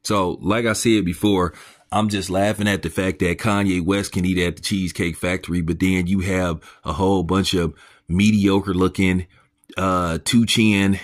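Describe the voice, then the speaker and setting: Deep-voiced man ranting bluntly. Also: steady tone, moderate pace. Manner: blunt, mocking, crude; pitch jumps up when incredulous. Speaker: commentary channel host. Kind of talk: ranting commentary monologue.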